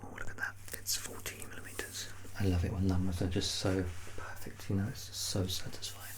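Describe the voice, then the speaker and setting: An ASMR performer speaking in a soft, unhurried murmur that slips into breathy whispers, reassuring and gently playful in a personal-attention roleplay. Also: low-pitched, flat delivery. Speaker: ASMR performer playing a measurer.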